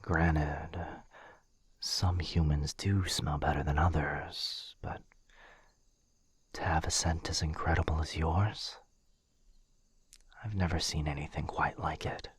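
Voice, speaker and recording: A man playing a gentle, protective, courtly vampire lord in an ASMR roleplay, speaking softly in a husky near-whisper with a hesitant, formal, earnest manner. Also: a bass voice, steady tone, slow pace, pauses often, no echo, exaggerated voice. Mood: earnest, careful. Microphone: close studio mic, dry.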